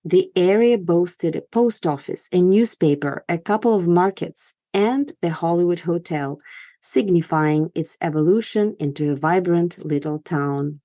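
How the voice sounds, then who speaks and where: A woman podcast host with a lowish, mellow voice delivers scripted narration in a storytelling format. The sound is close and dry, with very little room in it. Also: measured pace, dry recording.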